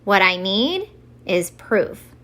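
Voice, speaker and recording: A woman's voice: medium pitch, big pitch swings, slow pace, a bit bright. A woman voicing an eager, earnest young boy with emphatic delivery in a children's storybook. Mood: determined. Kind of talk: children's storybook read-aloud.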